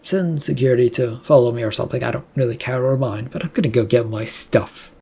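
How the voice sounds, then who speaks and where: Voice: mid-range voice, fast, vocal fry, mellow, slightly rough. A reader voicing a defiant male researcher in an audiobook story, blunt, probing and flippant. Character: defiant, smirking male researcher challenging his boss.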